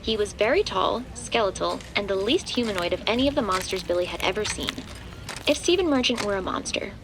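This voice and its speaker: A woman's voice: medium pitch, expressive, a little fast, background noise. A woman reading scripted third-person narration for a horror audio drama.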